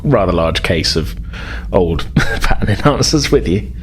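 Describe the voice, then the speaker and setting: A man narrating a tabletop game as game master, improvising in a casual, halting way. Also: sits low, expressive, slight background noise.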